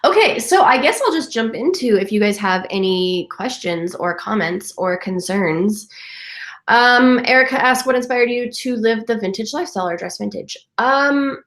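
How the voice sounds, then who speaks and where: A woman's voice: fairly high pitch, expressive, moderate pace, a bit bright. Congested young woman in an echoey room. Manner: chatty, upbeat, many fillers. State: congested from a cold. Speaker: vintage lifestyle vlogger. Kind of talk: casual live chat answering comments. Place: home.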